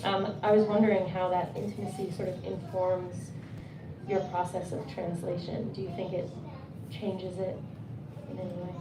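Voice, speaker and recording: A woman's voice: on the low side, little pitch movement, normal speed. A woman moderating a live Q&A at a bookstore poetry reading, asking questions in a friendly way with frequent fillers. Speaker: event moderator. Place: bookstore event space. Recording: roomy, distant, noisy room sound.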